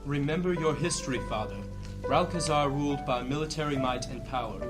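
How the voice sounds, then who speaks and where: An actor playing a grave, earnest church leader, speaking in measured, oratorical fashion in a fantasy audio drama. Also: male voice, on the high side, loud music behind the voice, lightly acted. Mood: earnest exhorting. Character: solemn male high church leader, earnest and weighty.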